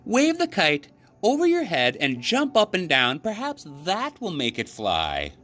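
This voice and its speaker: A man's voice: sits high, huge pitch swings, measured pace, a bit bright, pitched above the performer's own voice, full character voice. A man voicing an eager, encouraging frog in a storybook, upbeat and coaxing. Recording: clearly noisy background.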